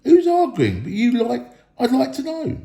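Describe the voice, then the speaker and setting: A man's voice: very high voice, huge pitch swings, normal speed, gravelly, warm tone, voice pushed up, exaggerated voice. A man voicing a touchy, squabbling storybook troll, snappy and ungrammatical, in an echoey room. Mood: indignant. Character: touchy, coarse, squabbling male troll named Tom.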